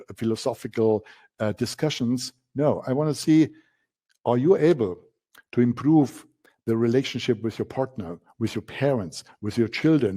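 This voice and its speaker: A man's voice: mid-range voice, warm tone. A man from Germany with a German accent, giving a masterclass presentation in an emphatic style, repeating key words and using frequent fillers. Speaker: coaching instructor.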